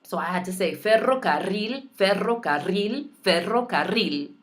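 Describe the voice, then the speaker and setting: A woman's voice: mid-range voice, expressive, measured pace. A young adult woman, a Spanish heritage speaker, teaching vocabulary in a recorded lesson with careful pronunciation and playful asides.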